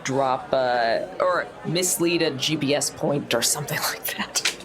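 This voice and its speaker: A man playing a hacker character in a tabletop game, thinking out loud and laughing often, with a gravelly voice. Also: medium pitch, a little slow, music in the background. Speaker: player. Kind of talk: casual tabletop play.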